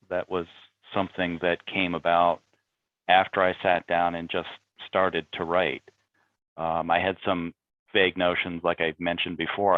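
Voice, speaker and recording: A man with a low voice discusses his writing in a thoughtful, halting way. He sounds like a guest on a talkback panel, heard through thin, phone-like call audio with little room sound. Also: no echo. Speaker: playwright on a panel. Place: remote video call. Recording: phone-like narrow-band video call audio.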